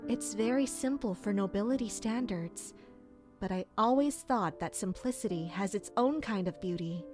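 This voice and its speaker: A woman's voice: medium pitch, normal speed, music in the background, lightly acted. An actress playing a deferential, reassuring attendant to a noblewoman in an audio drama, courteous and helpful in manner. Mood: reassuring, thoughtful.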